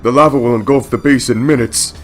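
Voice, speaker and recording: A man's voice: mid-range voice, steady tone, a little fast, soft background music, lightly acted. A man voicing a panicked male researcher in a dramatic comic-book reading. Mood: desperate. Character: panicked male research scientist recording his last message.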